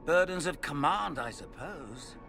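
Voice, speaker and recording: An actor playing a smug, theatrical villain, a cultist mage lord with a pompous, mocking manner in a video game cutscene. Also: male voice, a little high, expressive, a little slow, gravelly, music in the background. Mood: mocking, sly.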